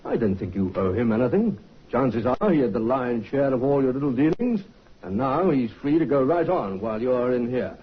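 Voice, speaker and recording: A calm police inspector presses his questions politely and keeps at them. His voice is very husky and breathy. The old broadcast sound is dull. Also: medium pitch, normal speed. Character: calm, probing male Scotland Yard inspector. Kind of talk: 1950s radio acting. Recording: dull vintage radio broadcast.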